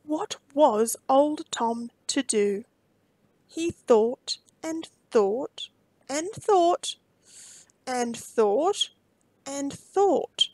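A woman reading a children's storybook aloud expressively, with deliberate phrasing and dramatic emphasis. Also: high-pitched, expressive, mellow, no echo, rough recording.

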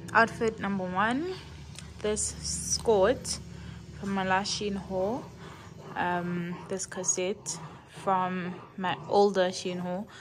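A young woman chatting casually to her viewers in a rambling, thinking-aloud way while vlogging at home. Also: medium pitch. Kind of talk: casual vlog chat to camera. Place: at home, partly in a kitchen. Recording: home video with steady background noise.

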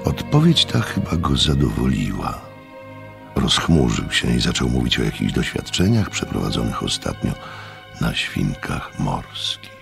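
An extremely deep, creaky male voice as a sceptical gentleman narrator. He tells a radio mystery reflectively, with lively swings of pitch and faint music behind. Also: unhurried, heavily acted. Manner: reflective, storytelling.

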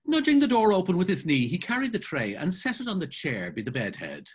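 Brief: older male narrator, lively pitch, slightly husky, expressive literary reading over webcam. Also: brisk. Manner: savoring, expressive storytelling. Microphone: video call webcam.

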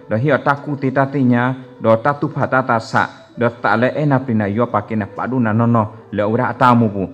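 A middle-aged man with a Karen accent, preaching a short, repetitive children's sermon into a stand mic in a large church hall. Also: medium pitch. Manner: repetitive, call-and-response, simple phrasing. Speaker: pastor. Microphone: stand mic at a lectern.

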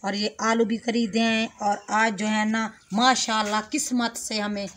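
A woman's voice: on the high side, level tone, unhurried, fairly bright tone. A middle-aged woman from Pakistan hosting a casual home cooking vlog, chatting to viewers with lots of repeated filler phrases, recorded outdoors in a village courtyard by a camera at a distance. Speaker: vlog host. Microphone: camera on tripod at a distance. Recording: noisy outdoor camera audio.

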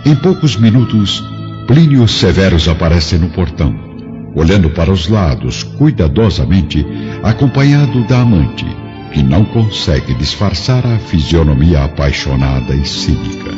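A man's voice: deep voice, sing-song, moderate pace. A man delivering scripted dramatic narration as the narrator of a radio play. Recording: dull sound with music behind.

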